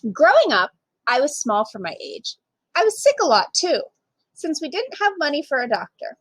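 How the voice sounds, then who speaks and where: A woman reading a children's picture book aloud as a storyteller, with emphatic, expressive delivery for young listeners. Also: sits high, moderate pace, dry recording. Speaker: storytime reader, literacy program manager.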